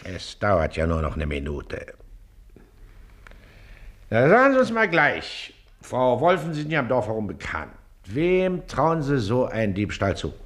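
An actor playing a pompous, irritable middle-aged Prussian magistrate in a German radio play, officious and imperious. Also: male voice, mid-range voice, huge pitch swings, a little slow, a bit bright. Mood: brisk officious questioning. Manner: officious, imperious, self-important.

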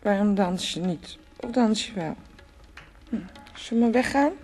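A dreamy, teasing woman voiced by an actress in a Dutch radio play, at a medium pitch. She muses and rambles, circling back on her own phrases. Faint music sits behind her voice. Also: unhurried, natural voice. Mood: playful, coaxing. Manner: musing, repetitive, breaks into soft laughs.

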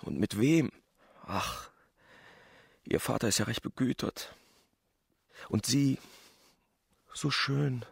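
An actor playing a shy, lovestruck country doctor, thinking aloud softly and hesitantly in a husky radio-play voice. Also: male voice, mid-range voice, takes it slowly, pauses often, no room sound, clean studio sound, exaggerated voice. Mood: wistful musing. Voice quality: husky, breathy, creaky. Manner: soft, hesitant, pensive. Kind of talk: radio play acting, inner monologue.

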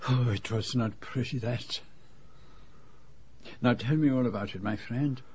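An actor playing a fastidious Belgian detective with a French-speaking accent, precise and formal in a radio drama. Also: male voice, medium pitch, darkish tone, a little hiss or hum, lightly acted. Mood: grave, shaken.

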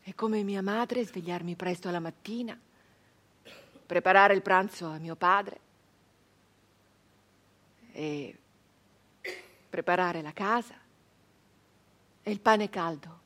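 An actress playing a passionate, wistful 19th-century village woman in an intense theatrical monologue, swinging between hushed and loud delivery. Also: fairly low pitch, takes it slowly, pauses often. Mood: dreamy longing.

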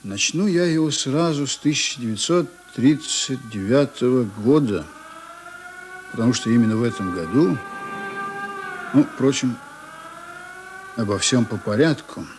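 An actor playing a journalist narrator in a radio play, reminiscing in a conversational, musing way with deliberate pauses. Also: male voice, medium pitch, lively intonation, darkish tone, slight background noise, close to an everyday voice. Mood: reflective. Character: reminiscing male journalist narrator, unhurried and intimate.

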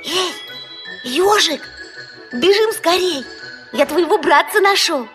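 Little childlike storybook creature: extremely high voice, sweet, polite, cheerful. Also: female voice, animated, takes it slowly, a bit bright, faint music behind, exaggerated voice. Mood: eager, insistent. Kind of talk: children's audio play acting.

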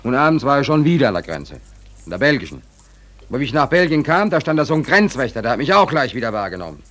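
An actor playing a hapless stateless drifter in a 1940s German radio play, colloquial and hesitant, naive but easily indignant. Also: male voice, mid-range voice, sing-song, fast, fairly bright tone. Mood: aggrieved storytelling.

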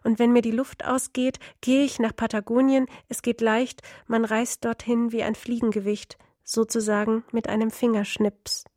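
Female literary reader, fairly high, flat intonation, dry close recording. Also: normal speed, hardly any pauses, no echo. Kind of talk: literary scripted reading.

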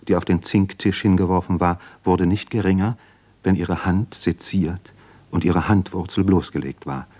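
An actor in a 1950s radio drama playing a thoughtful young medical student, speaking an earnest, reflective monologue. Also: male voice, sits low, darkish tone.

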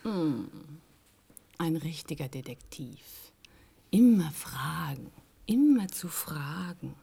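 An actress playing a sultry, world-weary femme fatale in a radio crime drama, languid and teasing, with a husky, breathy voice. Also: female voice, low voice, big pitch swings, slow, mellow, heavily acted.

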